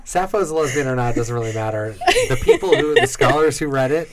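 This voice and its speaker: A man's voice: medium pitch, lively intonation, fairly quick, over a noisy crowd. A man co-hosting a casual chat podcast, mostly agreeing briefly and adding dry jokes. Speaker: podcast co-host.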